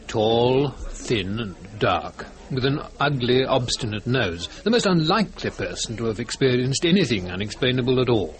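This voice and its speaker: Lively-pitched, formal colonel, acted by a man. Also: lightly acted. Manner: formal, precise, polite. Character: genteel, fussy retired British colonel, society president. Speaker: narrator and chairman. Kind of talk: old-time radio drama storytelling.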